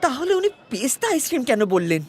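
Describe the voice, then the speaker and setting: A man voicing an excitable, slightly bumbling detective sidekick in a cartoon, chatty and full of exclamations. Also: very high voice, fast, slight background noise. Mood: eager. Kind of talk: animated cartoon dialogue.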